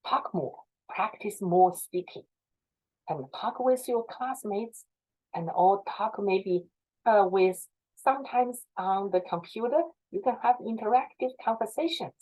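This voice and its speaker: A woman with a Chinese accent talks to students in an encouraging, teacherly way, her voice lively in pitch. The tone is mellow and a little husky. She often restarts and repeats phrases, heard over a video call. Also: measured pace, low-quality recording. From China. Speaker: visiting professor. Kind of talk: answering student questions.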